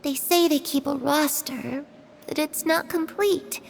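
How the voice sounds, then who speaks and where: A woman's voice: high-pitched, fairly even intonation, creaky. Clairvy, a timid eerie girl. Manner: slow, hesitant, drifting into whispers. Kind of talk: video game dub acting.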